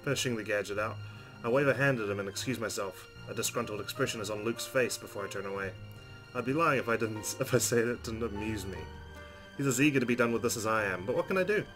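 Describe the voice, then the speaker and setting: Fast-talking low-voiced man reading a story. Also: poor audio. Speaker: let's play host reading game narration. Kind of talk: expressive reading of story narration. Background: game music.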